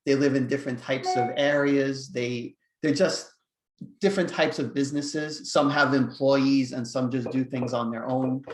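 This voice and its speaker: A man's voice: medium pitch, fairly even intonation, normal speed, echoey room, a little hiss or hum, rough recording. A man co-hosting a casual webinar for dog trainers, reflective and explaining his points steadily.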